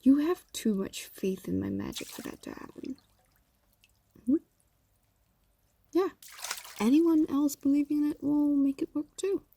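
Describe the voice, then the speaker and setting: A woman voicing a possessive, evasive fox demon in an intimate audio roleplay, speaking softly and often dropping into whispers. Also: high voice, big pitch swings, unhurried, gravelly, long pauses, a little hiss or hum, heavily acted. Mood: evasive, nervous. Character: possessive, evasive female fox demon doting on captive human.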